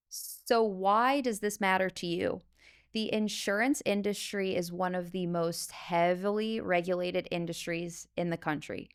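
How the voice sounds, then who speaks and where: Emphatic woman with a smooth low voice. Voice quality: loud voice. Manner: earnest, emphatic, with frequent "okay?" and "you know". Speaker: Medicare insurance agent and podcast host. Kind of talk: solo podcast talk to the viewer.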